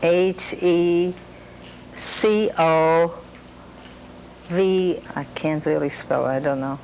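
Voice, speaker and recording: A woman's voice: on the low side, fairly even intonation, extremely slow, a little mellow, a little hiss or hum, rough recording. An older woman from Slovakia, recalling early life in a plain, hesitant way. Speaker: interviewee. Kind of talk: personal oral-history reminiscence.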